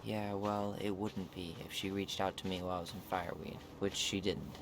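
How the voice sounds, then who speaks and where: Game role: wry, guarded young guy, deeper register, naturalistic, noisy ambience. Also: little pitch movement, fairly quick. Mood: guarded, resentful. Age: young adult. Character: wry, guarded young man with dry humour. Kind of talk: naturalistic video game acting.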